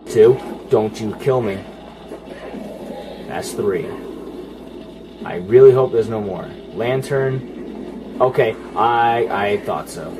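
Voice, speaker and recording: Low-voiced, foul-mouthed gamer guy, noisy recording. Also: takes it slowly, pauses often. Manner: profane, unfiltered, talking to the game. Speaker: gamer playing and commenting. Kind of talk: casual horror game playthrough commentary. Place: indoors, filming a TV screen while playing. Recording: noisy room capture with game sound.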